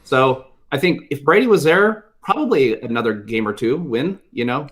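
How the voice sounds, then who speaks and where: Male sports panelist, average pitch, informal analysis, thinking aloud and rephrasing. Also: expressive, normal speed. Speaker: guest panelist on a sports show.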